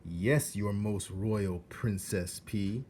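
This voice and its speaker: A man's voice: lowish voice, slow, darkish tone, a little hiss or hum. A man voicing a scheming male rat in a storybook, with courtly, coaxing, over-polite speech. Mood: scheming, flattering. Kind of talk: storybook character voice.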